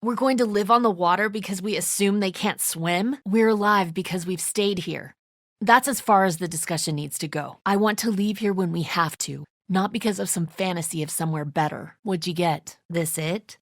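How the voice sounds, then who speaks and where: A woman's voice: fairly low pitch, moderate pace, no echo, clean studio sound. A woman voicing a tense, suspicious and defensive leader of zombie apocalypse survivors in a video game, speaking bluntly with biting sarcasm. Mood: suspicious, curt.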